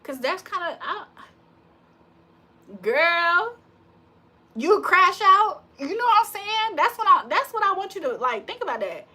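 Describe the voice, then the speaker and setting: Bright, chatty woman reading tarot live. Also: very high voice, sing-song, a little slow, pauses often. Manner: chatty, reads viewer comments aloud, slangy. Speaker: livestream tarot reader. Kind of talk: casual livestream chat.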